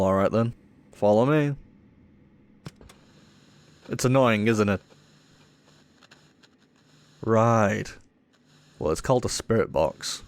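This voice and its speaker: A cocky male ghost hunter played by a man in an audio roleplay. His voice sits on the low side, and he talks in an offhand, dismissive way, teasing the newcomer as he goes. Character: cocky, irreverent male ghost hunter showing a rookie around. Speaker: paranormal investigator character.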